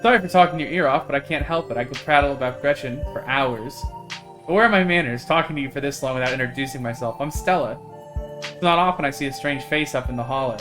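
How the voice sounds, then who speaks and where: A man's voice: medium pitch, fast talker, music in the background, natural voice. A man voicing a chatty, friendly small-town woman, reading a visual novel character in a folksy, rambling manner.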